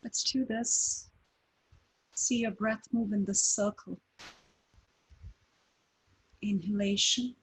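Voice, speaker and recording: A woman leads a breathing meditation at a very slow, unhurried pace. Her voice is airy and breathy, far from crisp. She lets each short phrase settle into a long pause. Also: on the high side, steady tone. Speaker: meditation teacher.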